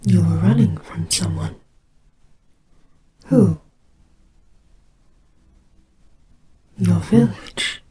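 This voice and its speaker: Woman voicing a slow, gentle goddess of death. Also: lowish voice. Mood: concerned, puzzled. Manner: soft, breathy, hesitant, reassuring. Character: gentle, soothing goddess of death sheltering a child. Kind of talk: slow dramatic audio roleplay. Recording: clearly noisy amateur home recording. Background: ambience sound effects.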